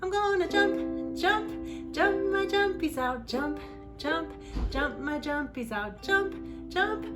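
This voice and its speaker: A woman hosting a children's read-aloud, reading a storybook expressively with dramatic emphasis. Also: sits high.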